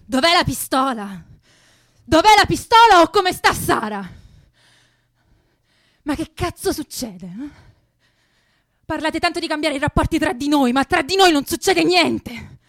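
Indignant young activist, high voice, dry close sound, challenging, radio play. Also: female voice, expressive, unhurried, long pauses, fairly bright tone, dry recording, full character voice. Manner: challenging, emphatic. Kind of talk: naturalistic radio drama acting.

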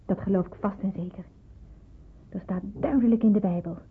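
Vurige jonge Joodse onderduikster, hese stem. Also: female voice, mid-range voice, a little fast, slightly rough. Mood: earnest, fervent. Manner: earnest, emphatic, pleading delivery. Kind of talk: Dutch radio play acting. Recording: muffled narrowband old radio play.

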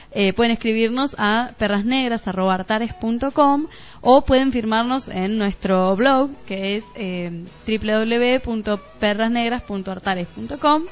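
A woman with a Rioplatense Argentine accent co-hosting a radio show in a casual, chatty style. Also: fairly high pitch. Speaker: radio co-host. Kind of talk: casual radio chat, addressing listeners. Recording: slightly muffled radio audio with music behind. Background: music.

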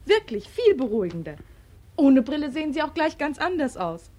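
A woman's voice: sits high, very animated, brisk, dark tone. A woman playing a lonely soldier's wife in a 1940s radio drama, theatrical, swinging between teasing and tender pleading. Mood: brisk, teasing. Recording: old 1940s radio studio recording.

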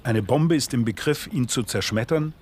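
An actor playing a solemn reciter of a philosophical treatise in a radio play, with measured, declamatory delivery. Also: male voice, mid-range voice, a little mellow, a little hiss or hum, natural voice. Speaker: narrator. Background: music.

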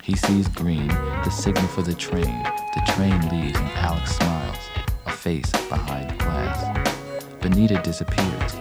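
Guy with a low voice reciting radio drama narration, measured, loud guitar music behind. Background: music, plucked guitar.

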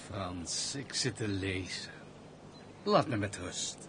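An actor playing a bitter, reclusive gentleman who hates people, curt and irritable, in a radio play. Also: sits low, expressive, takes it slowly, noisy background. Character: brusque, bitter male misanthrope, reclusive gentleman. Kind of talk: Dutch radio play acting.

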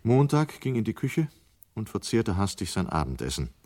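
A man with a very low-pitched voice narrates a radio play. He reads scripted literary prose as the story's narrator. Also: sing-song, moderate pace, darkish tone.

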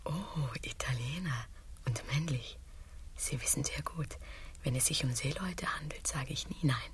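A woman playing a coquettish, theatrically passionate Spanish queen in a comic radio play, breathy and suggestive. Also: sits low, measured pace, noisy background. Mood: sly, flirtatious.

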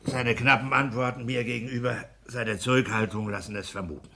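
Ein Mann spielt in einem Hörspiel der sechziger Jahre einen polternden, herrischen, pedantischen kleinbürgerlichen Ehemann und Beamten. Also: male voice, medium pitch, steady tone, a little fast, creaky, bright, forceful tone. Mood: pedantic. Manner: pedantic, domineering, emphatic. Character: blustering, bullying petty-bourgeois husband and civil servant. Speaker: radio play actor. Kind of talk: 1960s German radio play acting.

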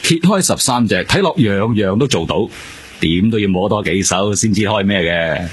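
An actor playing a laid-back, teasing detective partner in a radio drama, slangy and offhand, with a Hong Kong Cantonese accent. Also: male voice, fairly low pitch, animated, normal speed, slight background noise, lightly acted. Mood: offhand, intrigued.

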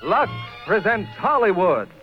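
A man's voice: sits high, sing-song, measured pace. A man announcing a 1940s radio show on a vintage broadcast recording. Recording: dull old radio broadcast, loud music.

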